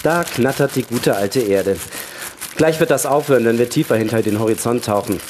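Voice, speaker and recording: A bored, needling male astronaut on a moon station , acted by a man for a radio play. His voice is medium in pitch and casually sardonic. Some noise sits on the recording. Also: normal speed, close to an everyday voice. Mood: bored, teasing. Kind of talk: staged radio play reading.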